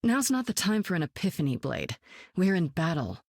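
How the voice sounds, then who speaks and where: A seasoned woman superspy with a cool, wry, self-assured delivery. Her voice sits a little low and is recorded close and dry, with no room around it. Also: huge pitch swings, fairly quick, darkish tone, dry recording, exaggerated voice. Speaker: game hero. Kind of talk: video game voice lines.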